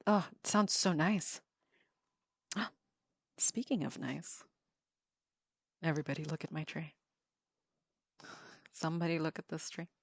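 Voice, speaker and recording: Chatty woman with a lowish, dry voice. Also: fairly bright tone, no room sound. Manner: chatty, laughs often, many ums and restarts. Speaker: livestreamer drawing on a tablet. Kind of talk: casual chat while drawing.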